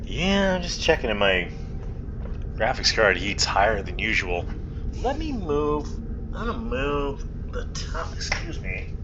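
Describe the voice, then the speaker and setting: A man's voice: unhurried, dull audio. A man giving casual, rambling gameplay commentary, often muttering to himself as he thinks aloud. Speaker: gaming video host. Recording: loud steady background noise, rumbling hum.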